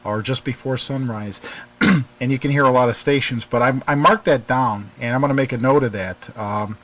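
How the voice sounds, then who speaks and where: A man hosting a casual hobby radio chat, talking in a halting, hesitant way as he interviews. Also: mid-range voice, a bit bright, poor audio. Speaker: host, interviewer.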